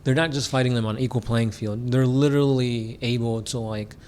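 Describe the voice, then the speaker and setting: A man co-hosting a casual podcast about a tabletop war game, answering fan questions in a relaxed way, full of "like" fillers and quick laughs. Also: mid-range voice, slight background noise. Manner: laid-back, many "like" fillers, laughs often. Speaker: podcast co-host.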